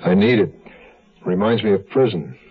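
An actor playing a desperate, brooding escaped convict, moody and terse, in a 1970s radio drama. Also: male voice, fairly low pitch, animated, moderate pace, breathy edge. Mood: brooding, tormented. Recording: very narrow-band 1970s radio recording.

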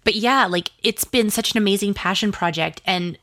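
A woman's voice: medium pitch, moderate pace, fairly bright tone, no echo, clean studio sound. A woman chatting casually as an interview guest, enthusiastic and talkative, peppering her sentences with "like". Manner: chatty and enthusiastic, says "like" very often. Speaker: interview guest, podcast and pop culture site creator.

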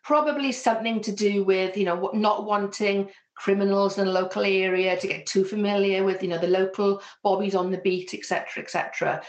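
A woman with a soft Welsh accent answers interview questions as a coach, earnest and reflective, often restarting her sentences. Her voice sits in a middling register. The room around her gives it a noticeable echo. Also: mid-range voice, steady tone, brisk, hardly any pauses, lo-fi sound. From Wales. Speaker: business coach. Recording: echoey room.

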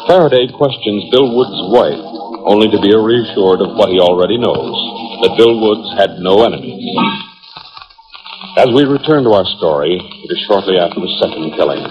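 A man's voice: deep voice, expressive, moderate pace. A man narrating a scripted 1940s radio drama as its announcer. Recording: muffled 1940s radio recording. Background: dramatic background music.

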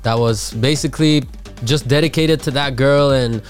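A man's voice: mid-range voice, normal speed, faint music behind. A man from Armenia, a guest recording artist, talking casually in a radio interview. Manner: relaxed, casual slang. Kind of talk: conversational interview.

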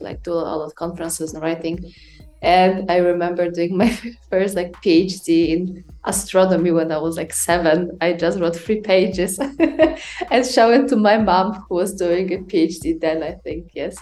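A woman's voice: lowish voice, darkish tone. Polish-accented woman, hesitant and cheerful. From Poland. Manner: hesitant, frequent fillers, cheerful. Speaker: guest microbiologist. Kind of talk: casual interview.

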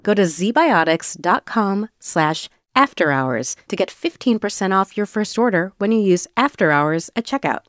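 A woman reads scripted advertising copy with lively, varied intonation. Her pitch is average and the recording is very dry and close. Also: normal speed, hardly any pauses, no echo. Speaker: podcast sponsor-read announcer.

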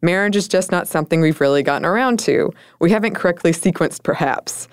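A woman's voice: on the low side, brisk, clear, resonant tone, no room sound, studio quality. A woman co-hosting a casual podcast, chatty and thoughtful, laughing often. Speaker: podcast co-host.

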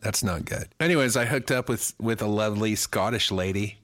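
A man's voice: lowish voice, brisk, a bit bright, dry recording, clean studio sound. A male podcast co-host and singer getting over a cold, joking crudely in a rambling, casual way.

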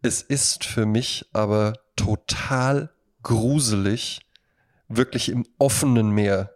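A man's voice: fairly low pitch, takes it slowly. Rambling man in casual podcast chat. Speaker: podcast co-host.

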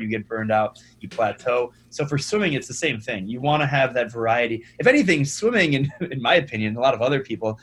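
A young man, a startup founder, talking casually as a podcast guest, energetic and often repeating his first words. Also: medium pitch, slight background noise. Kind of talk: conversational podcast interview.

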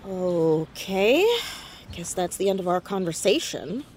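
Curious 14 yr old girl detective, swoopy animated voice, audio drama, some noise behind. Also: on the low side, takes it slowly. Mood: upbeat, curious. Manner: inquisitive, earnest. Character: curious, upbeat fourteen-year-old girl amateur sleuth. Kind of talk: naturalistic audio drama dialogue.

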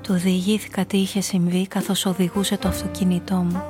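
A woman's voice: fairly low pitch, flat delivery, mellow, faint music behind, lightly acted. An actress playing a frightened female blackmailer who tells her own story intimately in a scripted radio play. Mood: shaken, somber. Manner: intimate, confessional first-person storytelling.

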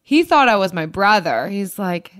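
A woman co-hosting a podcast, chatty and quick to laugh, telling stories casually. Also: medium pitch, big pitch swings, a bit bright, dry recording, studio quality. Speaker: podcast co-host. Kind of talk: casual chat.

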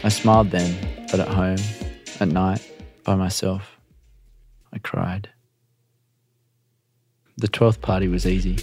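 A man with a very low voice reads a literary story in the first person. His delivery is gravelly and measured, confessional in its short sentences, with faint music underneath. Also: little pitch movement, darkish tone.

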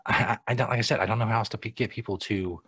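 A man's voice: lowish voice, steady tone, very fast, fairly bright tone, breathy edge, no room sound. An American man hosting a live podcast, chatting casually with a profane, sardonic tone and muttered asides. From United States. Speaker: podcast host. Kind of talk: casual live show chat.

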